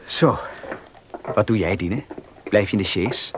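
A man's voice: fairly low pitch, unhurried, natural voice. An actor playing a serious young clergyman in a radio play, plain-spoken and matter-of-fact. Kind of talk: Dutch radio play acting. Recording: noisy outdoor-scene radio drama effects.

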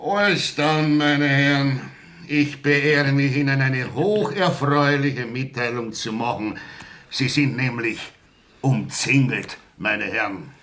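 A man's voice: medium pitch, slow pace, a bit bright. A man playing a grumbling Bavarian innkeeper in a German radio play, mock-formal and smugly courteous. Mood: gloating.

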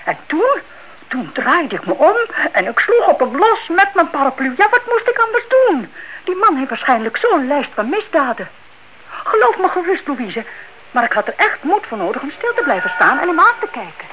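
Excitable middle-aged spinster aunt, high animated voice, dramatic, dull old radio sound. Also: female voice, normal speed, background noise. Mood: dramatic, self-justifying. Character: flustered, indignant, fanciful spinster aunt.

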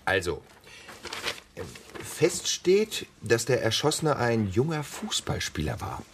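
An actor playing an eager, talkative, pedantic police detective in a German radio play, earnest and explanatory. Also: male voice, medium pitch, huge pitch swings, slow, noisy background. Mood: earnest, explaining. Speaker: actor in radio crime drama.

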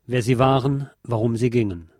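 A man's voice: mid-range voice, moderate pace, background noise. A man reading a scripted history documentary as narrator. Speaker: narrator of a history radio series.

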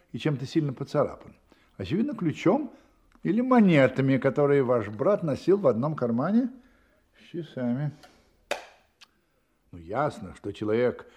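An actor playing a brilliant, confident detective in a Russian radio play, explaining deliberately and emphatically with dramatic pauses. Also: male voice, medium pitch, darkish tone, breathy edge.

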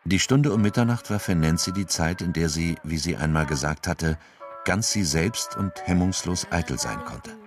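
A man's voice: sits low, moderate pace, soft background music, natural voice. A man narrating a crime radio drama from a script as its storyteller.

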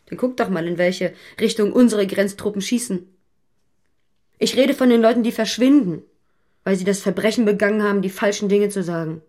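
A woman's voice: mid-range voice, a little mellow, lightly acted. An actress playing an outspoken, challenging woman critical of the state in a naturalistic radio play. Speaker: radio drama actor.

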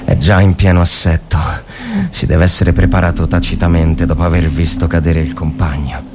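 Low-pitched man narrating gravely. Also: flat delivery, moderate pace, noisy background. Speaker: literary reader, narrator. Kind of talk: dramatic scripted narration of a war story.

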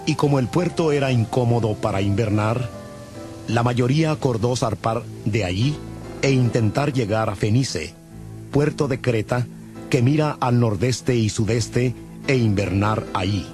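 A man narrating scripture as a scripted audio Bible, in a measured, solemn manner. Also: mid-range voice. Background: music.

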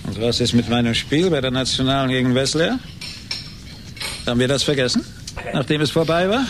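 An embittered, gloating male genius played by a radio actor, obsessed with revenge. He speaks in a middle register with theatrical hesitations and repeated words. The old radio recording carries noticeable noise and a low rumble. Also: expressive, brisk, lightly acted. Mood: bitter. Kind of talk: radio play acting.

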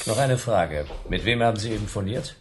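A low-pitched, cool investigator , acted by a man in a radio crime play. He is laconic and needling, with lively intonation, pressing on with questions. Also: fairly quick, a little hiss or hum, lightly acted. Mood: cool, probing. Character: cool, persistent male detective posing as a customer. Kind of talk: German radio play acting.

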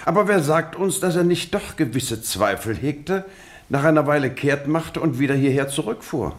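An actor playing a shrewd, probing detective in a radio drama, formal, measured and relentless in questioning. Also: male voice, a little high, animated. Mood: probing, reasoning. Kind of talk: scripted radio drama dialogue.

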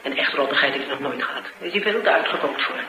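A woman's voice: rumbling low, rapid-fire. An actress playing a tough, blunt, determined woman interviewer in a Dutch radio drama. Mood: brisk, urgent. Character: tough, sharp-tongued, determined woman interviewer sheltering a fugitive. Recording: noisy old radio drama recording.

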